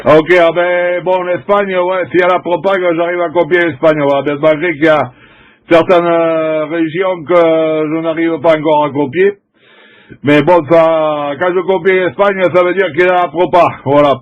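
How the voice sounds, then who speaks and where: A man's voice: a little high, fairly even intonation, normal speed, dull audio. A middle-aged man from France chatting casually and informally as a CB radio operator, using radio jargon. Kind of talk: casual CB radio contact chat.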